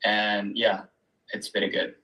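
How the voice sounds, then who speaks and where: A relaxed, friendly man shares what he hopes to learn. His voice sits slightly low and sounds distant in an echoey room. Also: measured pace. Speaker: workshop participant.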